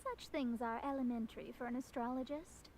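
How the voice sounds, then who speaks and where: A woman's voice: on the high side, fairly quick, darkish tone, slight background noise, natural voice, rough recording. An actress playing a proud, theatrical young female astrologist in a video game, speaking in formal, declamatory phrases. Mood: lofty, proud. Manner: haughty, declamatory, formal diction.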